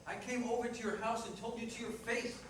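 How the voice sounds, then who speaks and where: A man's voice: very high voice, steady tone, a little fast, a bit bright. A man playing a frank, remorseful working-class ex-fiancé in a staged reading, speaking in a plain, matter-of-fact way. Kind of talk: staged reader's theater dialogue. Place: indoor performance room, distant microphone. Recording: echoey noisy room recorded from far off.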